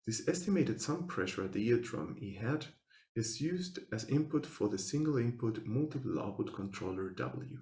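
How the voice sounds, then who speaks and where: A man giving a scripted technical presentation as a researcher, speaking formally and in measured, step-by-step phrases. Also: medium pitch, lively intonation, darkish tone, echoey room.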